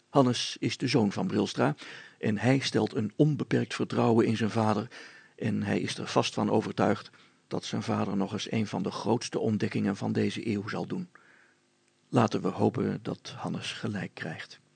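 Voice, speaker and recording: A man narrating a scripted children's story as a storyteller, in a deliberate, old-fashioned way. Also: fairly low pitch, gravelly, darkish tone, breathy edge, no echo.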